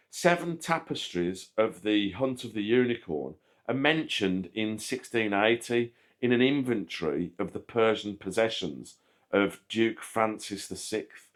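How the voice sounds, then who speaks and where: A man's voice: on the low side, lively intonation, slow. A man narrating scripted history as a channel host, reading aloud.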